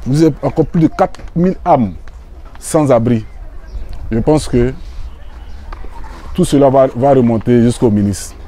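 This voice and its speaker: Ivorian man, very mellow tone, earnest open-air speech with repeats. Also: mid-range voice. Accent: Ivorian French. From Côte d'Ivoire. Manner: earnest, repeats phrases, halting restarts. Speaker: visiting association official. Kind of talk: emotional outdoor speech to a community. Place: outdoor urban neighborhood gathering.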